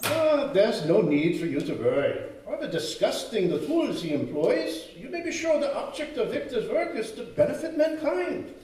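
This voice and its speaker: An actor playing an elderly professor and mentor in an old-time radio horror drama, with theatrical exclamations. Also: male voice, extremely high pitch, sing-song, moderate pace, few pauses, darkish tone. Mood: reassuring. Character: elderly male professor, the scientist's old teacher. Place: live stage show in a community hall. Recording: echoey hall, far from mic, faint noise.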